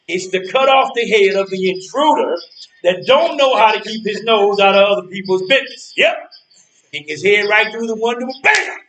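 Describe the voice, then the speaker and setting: A man acting in a stage play as a bitter, menacing older man on a park bench, making slow, deliberate threats in folksy phrasing. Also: high-pitched, a bit bright. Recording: roomy, echoey, distant from microphone.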